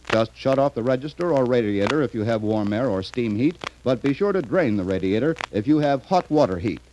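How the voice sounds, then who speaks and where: A man's voice: medium pitch, a little mellow, low-quality recording. A man delivering a scripted sponsor message as a 1930s radio announcer. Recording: faintly hissy 1930s radio recording.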